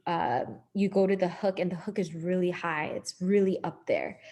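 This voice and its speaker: A woman songwriter answering student questions on a video call, explaining in a relaxed way with ums. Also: fairly low pitch. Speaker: guest songwriter and topliner.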